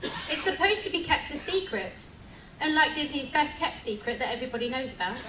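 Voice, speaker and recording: A woman narrating a live radio play from a script in a theatrical, deliberate style. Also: high voice, very animated. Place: live stage reading on cruise ship. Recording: echoey, distant mic, noisy crowd.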